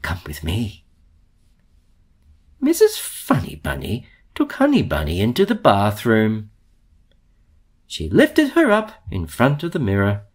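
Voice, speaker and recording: Very animated man reading a storybook. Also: medium pitch. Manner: theatrical, drawn-out emphasis. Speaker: storybook reader.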